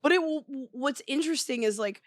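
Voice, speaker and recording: A young woman co-hosting a podcast, telling animated stories and laughing often in casual chat. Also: high-pitched, fairly quick, dry recording, studio quality. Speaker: podcast co-host.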